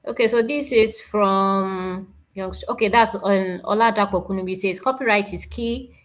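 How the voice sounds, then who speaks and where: A woman's voice: medium pitch, moderate pace. A woman reading chat comments aloud as a moderator.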